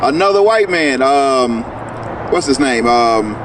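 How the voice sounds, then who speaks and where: A man's voice: mid-range voice, expressive, takes it slowly. A man teaching people on a city street, questioning them and tagging his points with "right?". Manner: questioning, tags lines with "right?". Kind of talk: outdoor street preaching. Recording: noisy street with traffic.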